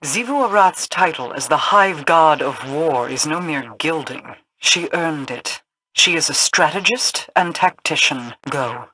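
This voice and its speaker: Video game queen, mystical and commanding, bright crisp edge, stately formal delivery. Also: low-pitched, expressive, exaggerated voice, rough recording. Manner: formal, measured, imperious. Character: regal, commanding female queen of a mystical people.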